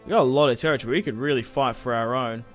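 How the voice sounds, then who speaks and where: A young man chats through a strategy game at an ordinary mid pitch. He rambles, swears casually and keeps it loose. Soft game music sits faintly behind his close desk mic. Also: rapid-fire. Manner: chatty, rambling, swears casually, laughs at own moves. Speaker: gaming YouTuber. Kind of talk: casual let's-play commentary.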